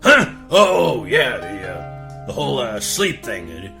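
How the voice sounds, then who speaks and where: A man's voice: medium pitch, very animated, slow, creaky, bright, forceful tone, faint music behind, exaggerated voice. A man voicing a flustered, caffeine-starved king in a comedic fairy-tale parody, blustering and stammering. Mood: weary, flustered.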